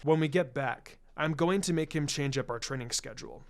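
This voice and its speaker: A man's voice: a little high, a little fast, voice pushed down, natural voice. A man voicing a brooding, impatient teenage ninja boy in a story reading, terse and serious. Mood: resolute. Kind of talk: storybook-style character reading.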